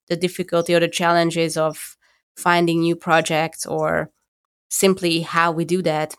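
A woman with a Hungarian accent, co-hosting a podcast from home, talking things through thoughtfully and pausing to find her words. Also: sits low, creaky, fairly bright tone. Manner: thoughtful, searching for words with restarts. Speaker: podcast co-host. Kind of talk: casual conversation.

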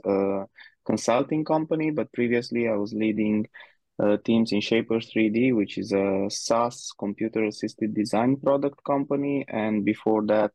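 A man's voice: on the low side, dark tone, no room sound, lo-fi sound. A man from Romania, answering interview questions in a hesitant, thinking-aloud way with many fillers. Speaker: guest, head of people.

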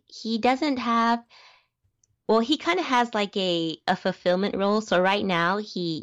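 A woman's voice: fairly low pitch, no echo. A woman with a youthful-sounding voice, chatting casually as a podcast guest, restarting words and laughing often. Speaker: guest, small business founder. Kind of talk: podcast interview, casual storytelling.

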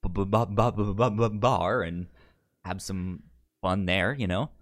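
A man co-hosting a casual film discussion podcast, speaking with a pronounced stutter that repeats sounds and syllables in a halting delivery. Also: low-pitched. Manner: halting, hesitant delivery with frequent restarts. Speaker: podcast co-host.

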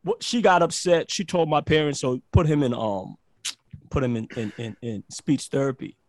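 A man's voice: medium pitch, moderate pace, no room sound. A man from Barbados, a podcast guest, telling stories in casual chat with lots of restarts and "like". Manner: animated storyteller, repeats words and says "like" often.

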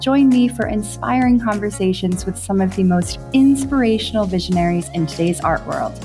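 A woman's voice: mid-range voice, normal speed, music in the background. A woman hosting an interview podcast in an effusive, emphatic, enthusiastic way. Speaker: podcast host.

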